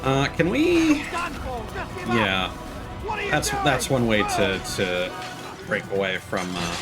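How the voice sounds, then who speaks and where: Animated man streaming a game. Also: lowish voice, takes it slowly. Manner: playful, jokey. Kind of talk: casual let's play commentary. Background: game music.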